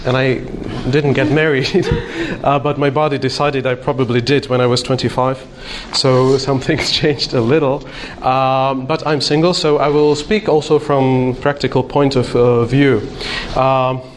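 A man's voice: medium pitch, slight background noise. A man from Slovakia, giving a conference talk with frequent hesitations and light self-deprecating humor. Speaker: conference speaker.

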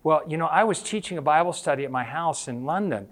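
A man voicing a flustered woman Bible teacher who talks in a breathless, excitable way. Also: on the high side, fast talker, lightly acted.

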